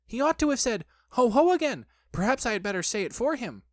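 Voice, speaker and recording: A storybook reader voicing a timid small piglet with a squeaky voice, anxious and flustered. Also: sing-song, no echo, studio quality, natural voice. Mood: nervous. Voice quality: squeaky, husky. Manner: nervous, hurried when flustered.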